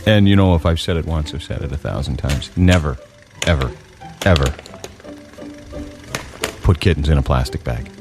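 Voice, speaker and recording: A man hosting a radio morning show, telling rambling joke stories with a deadpan delivery. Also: very deep voice, normal speed, long pauses, music in the background. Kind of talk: joking radio banter. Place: radio studio. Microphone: studio microphone.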